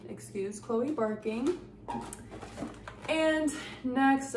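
A woman's voice: mid-range voice, a little hiss or hum. A young woman chatting casually to the camera about food at home, upbeat and gushing. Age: young adult. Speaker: lifestyle vlogger. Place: home kitchen, indoors.

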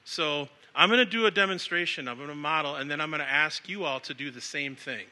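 Male speaker, 40s, from Samoa, raised in the US; sharp, tense tone, upbeat and crowd-leading. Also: on the high side, fairly quick. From born in Samoa, raised in the United States. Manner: upbeat, casual, leads the crowd. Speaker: speaker at an education event. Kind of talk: live talk to an audience.

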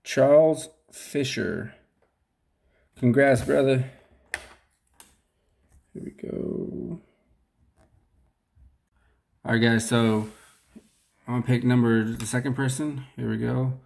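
A man's voice: medium pitch, steady tone, takes it slowly, gravelly, pauses often, darkish tone. A young man casually talking to the camera in a small room, drawing giveaway winners. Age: young adult. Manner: casual, rambling, thankful. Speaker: fishing channel host. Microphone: camera close up at desk.

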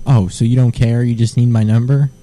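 A man making a prank phone call, deadpan and provocative, drawing the agent along. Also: mid-range voice, brisk. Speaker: prank caller.

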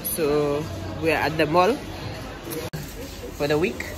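A woman's voice: low-pitched, steady tone, slow, poor audio. A young woman chatting casually to her phone camera as she narrates her day as a student. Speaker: vlogger and student. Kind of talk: casual vlog chat. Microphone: phone camera held by hand. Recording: loud music and crowd behind.

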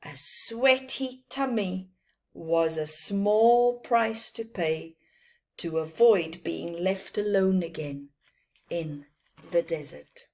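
A middle-aged woman reading a children's storybook aloud with expressive, dramatic phrasing and emphasised words. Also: on the low side, slow. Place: small plain room indoors.

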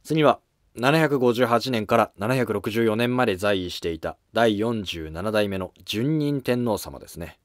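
A man playing a driven, matter-of-fact court scholar in a historical drama, speaking in measured lines. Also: fairly low pitch, lively intonation, no echo, clean studio sound. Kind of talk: scripted historical drama dialogue.

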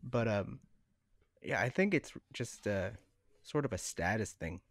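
A man's voice: on the low side, lively intonation, measured pace, dry recording. A man chatting casually as a podcast co-host, with a hesitant, conversational way of talking. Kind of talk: casual chat.

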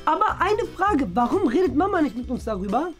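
Whiny, scheming teen son, played by a man, very high voice, quick and pleading, family skit with faint music. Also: big pitch swings, a bit bright, full character voice. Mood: scheming, curious. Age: young adult. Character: whiny, scheming teenage son who loves gaming. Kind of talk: scripted family comedy skit.

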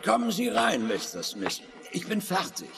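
An actor playing a sinister scientist in an audio horror drama, mockingly courteous and coolly murderous. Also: male voice, sits high, wildly swooping pitch, normal speed, creaky, music in the background, exaggerated voice. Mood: coolly menacing.

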